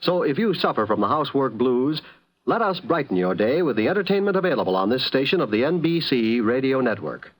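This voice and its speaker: A man's voice: medium pitch, sing-song, brisk, low-quality recording. A man with an American accent reading a scripted 1950s network radio promotion as the announcer.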